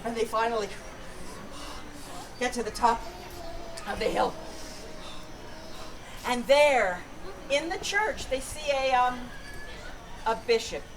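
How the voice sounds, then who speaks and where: A woman storyteller with a high voice makes up a fairy tale in a playful way, pausing for ideas. She is recorded from a distance at an outdoor festival, with clear background noise. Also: animated. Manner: playful, pauses to invite suggestions. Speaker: storyteller, performer. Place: outdoor Renaissance festival with audience. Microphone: distant camera.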